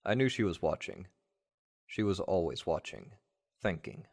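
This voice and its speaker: Deep-voiced man reading a story. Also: sing-song, measured pace, gravelly, a little mellow. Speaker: narrator of an audio story. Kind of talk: first-person dramatic story reading, like a radio play.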